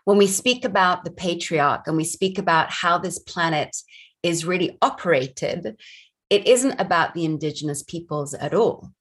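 Woman from barbados, husky, lowish voice, earnest and reflective, interview guest on a video call. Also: moderate pace. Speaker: film director, guest. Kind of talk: interview conversation.

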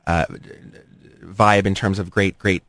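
A man hosting an interview, asking measured, probing questions. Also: low-pitched, little pitch movement, fairly bright tone, no room sound, clean studio sound. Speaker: interviewer, host. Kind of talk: radio interview.

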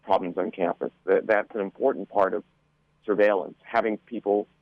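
A deep-voiced man comes through a narrow, phone-like connection with little room sound. He talks as an official on a radio panel, careful and halting, doubling back on words as he explains. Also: fairly even intonation, dry recording. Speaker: school board member. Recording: muffled narrow phone-like line.

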